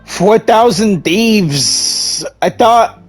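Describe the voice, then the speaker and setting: Brief: gaming streamer, man, higher voice, slow, game music faint under. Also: lively intonation. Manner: joking, exasperated reactions. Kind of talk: let's play reaction commentary.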